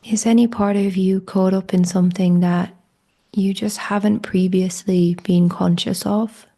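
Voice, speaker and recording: A woman leads a guided meditation in a soft, hushed delivery that often slips toward a whisper. Her voice is mellow and breathy, with a gravelly fry at the edges. She keeps her pitch very even as she offers slow invitations to the listener.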